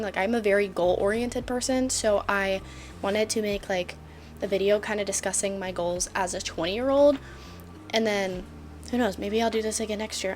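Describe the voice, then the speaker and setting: Bubbly young woman chatting to camera. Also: mid-range voice. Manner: bubbly, joking, filler-heavy. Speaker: vlogger. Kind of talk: casual chatty vlog.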